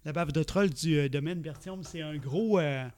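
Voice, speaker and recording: A man's voice: fairly high pitch, moderate pace, a little mellow. A man in his forties with a Québécois French accent, chatting casually as a podcast co-host. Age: 40s. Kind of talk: casual chat about craft beer.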